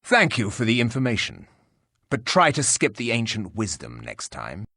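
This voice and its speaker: Fantasy game hero, male warrior: blunt, rude, husky, lively inflection. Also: on the low side, moderate pace, dry recording, clean studio sound, exaggerated voice. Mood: impatient, annoyed. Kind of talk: fantasy video game dialogue acting.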